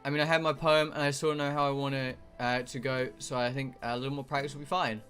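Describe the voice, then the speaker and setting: A man's voice: medium pitch, little pitch movement, quick pace, fairly bright tone, faint music behind. A British man live streaming a game, rambling casually to his viewers in a blunt, sweary, joking way. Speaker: live streamer playing a visual novel.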